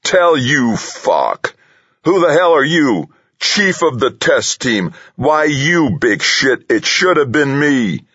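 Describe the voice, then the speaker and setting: Guy doing a big angry foul-mouthed bully, audiobook character, rough husky roar, slightly dull sound. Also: sits low, big pitch swings, normal speed, a bit bright, pitched above the performer's own voice, heavily acted. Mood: furious. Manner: roaring, growling, belligerent. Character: big hot-tempered male bully test engineer, foul-mouthed, menacing. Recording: slightly dull, roomy recording.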